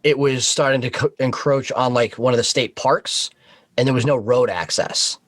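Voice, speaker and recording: A man's voice: mid-range voice, fairly even intonation, normal speed, fairly bright tone, no echo. A man chatting informally as a podcast guest, telling work stories and swearing casually. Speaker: podcast guest, Coast Guard boat operator.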